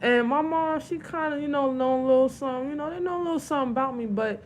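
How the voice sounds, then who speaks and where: A woman with a New York accent chats casually as a podcast guest, her voice sitting a little high. She talks in a laid-back, meandering way. A faint low rumble runs underneath. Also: fast. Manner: laid-back, rambling with many fillers. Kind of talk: casual chat.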